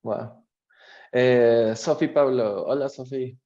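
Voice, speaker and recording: A man's voice: medium pitch, slow pace, warm tone. Mexican guy hosting a talk, mid voice, reads out questions, kinda muffled audio. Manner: friendly, encouraging, with frequent hesitations. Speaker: moderator, interviewer. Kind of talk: conversational talk, reading audience questions.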